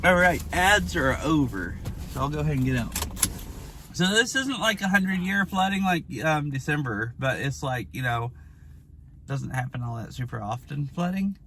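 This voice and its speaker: A hoarse young man streaming outdoors, chatting casually and rambling with lots of fillers. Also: a little high, expressive, moderate pace, pushed and bright, a little hiss or hum. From Washington state. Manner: rambling, filler-heavy, with frequent "like". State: hoarse from lost voice. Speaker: IRL streamer. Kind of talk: casual live-stream chat. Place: outdoors by a flooded river.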